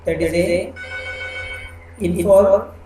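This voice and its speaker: A man giving a programming lecture, explaining step by step with halting phrasing and repeated fillers. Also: sits high, music in the background. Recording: echoey room with background noise.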